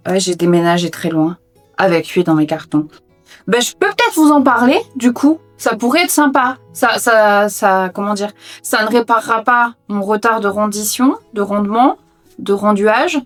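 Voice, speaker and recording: A woman's voice: mid-range voice, lively intonation, normal speed, soft background music. A woman whose first language is Portuguese, chatting casually with playful, self-mocking asides while she tidies her room as a book vlogger. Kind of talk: casual chat while tidying. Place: her own hobby room.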